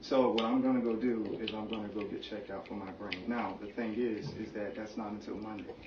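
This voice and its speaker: A man speaking seriously and haltingly in a personal conversation, earnestly explaining things in simple terms. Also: on the low side, steady tone. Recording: muffled, echoey room, distant mic, faint noise.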